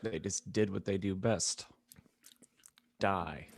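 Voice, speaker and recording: A man's voice: deep voice, slow, vocal fry, dry recording. A man co-hosting a podcast in casual comedic banter, with a wry, teasing manner. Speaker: podcast co-host.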